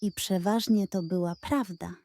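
A woman narrating a children's bedtime story in an expressive, playful way. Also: fairly low pitch, a little slow, no echo.

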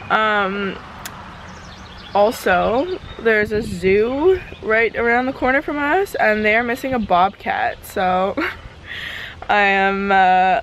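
A woman's voice: a little high, fairly bright tone. Young woman vlogging casually outdoors, background noise. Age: 20s. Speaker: lifestyle vlogger. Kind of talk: casual vlog chat. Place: mostly outdoors in a leafy backyard, briefly a home kitchen. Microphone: camera at arm's length.

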